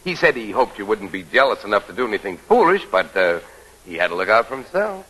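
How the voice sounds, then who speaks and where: An actor playing a sly, confident, much-married tobacco heir who schemes as a suitor, in 1940s radio romantic comedy. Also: male voice, lowish voice, big pitch swings, fast talker, sharp tone, breathy edge, full character voice, poor audio. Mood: slyly goading. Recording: old radio broadcast recording.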